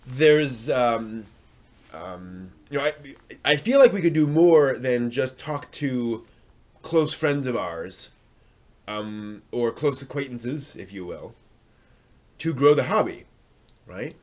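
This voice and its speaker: A man's voice: medium pitch, expressive, slow, pauses often, a little mellow. A man hosting a live stream, talking casually and unscripted to viewers, often thinking aloud in a hesitant, rambling way.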